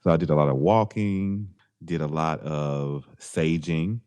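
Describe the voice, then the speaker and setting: Very deep-voiced man chatting easily. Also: steady tone, a little mellow, clean tone. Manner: laid-back, joking, drawn-out phrasing. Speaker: podcast co-host. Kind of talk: casual chat.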